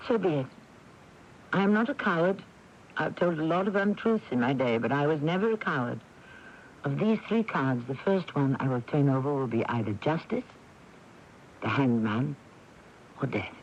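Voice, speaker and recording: An actress playing a proud, fatalistic married woman who reads tarot cards, dramatic and deliberate, in 1980s radio drama style. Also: sits low, expressive, a little mellow. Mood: defiant, grave. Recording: muffled, faintly hissy 1982 radio tape.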